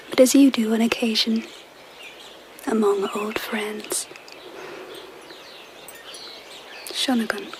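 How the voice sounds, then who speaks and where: An actress playing a regal, quietly wistful empress in a radio drama, speaking in a hushed, deliberate, confiding way. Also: female voice, medium pitch, slight background noise, natural voice. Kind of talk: naturalistic radio drama acting.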